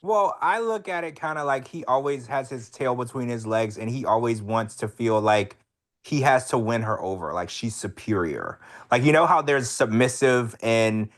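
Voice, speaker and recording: A man's voice: medium pitch, lively intonation, moderate pace. A man co-hosting a casual podcast chat, talking bluntly with plenty of swearing and strong opinions. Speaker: podcast co-host.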